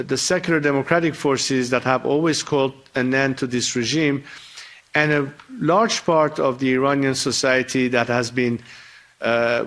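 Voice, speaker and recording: A man speaking as a guest at a formal event, answering a question in a measured, deliberate way with frequent hesitations. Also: medium pitch, a bit bright. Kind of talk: spontaneous formal remarks.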